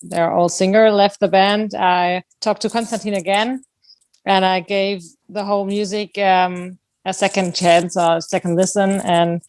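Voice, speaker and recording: Woman, German accent, band singer, casual interview chat, halting delivery, dry recording. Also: medium pitch, darkish tone, no room sound. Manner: halting, searching for words.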